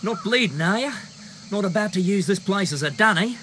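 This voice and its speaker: An actor playing a wary, gruff, wounded man in a video game, blunt and colloquial, over noisy ambience. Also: sits high, expressive, fairly quick.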